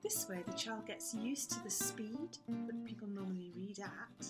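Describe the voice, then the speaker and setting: A woman's voice: medium pitch, normal speed. A woman giving careful instructional narration as a teacher, explaining step by step. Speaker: teacher demonstrating a phonics reading method. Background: plucked guitar music.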